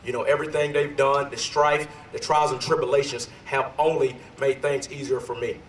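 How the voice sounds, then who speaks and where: A slightly hoarse man in his forties, a sergeant major, talking loosely and energetically with many fillers at an outdoor ceremony. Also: mid-range voice, level tone, moderate pace, slight background noise. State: a little hoarse. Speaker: sergeant major giving a history talk. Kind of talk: ceremonial speech. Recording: distant echoey PA outdoors.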